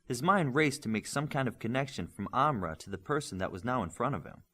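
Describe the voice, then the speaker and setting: Animated male audiobook narrator. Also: mid-range voice, a little fast. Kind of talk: scripted fiction narration with character dialogue.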